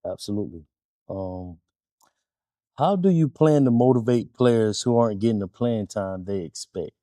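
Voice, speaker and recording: Male interviewer, low pitch, mellow tone, calm, dry sound. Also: normal speed, dry recording. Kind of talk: interview questions.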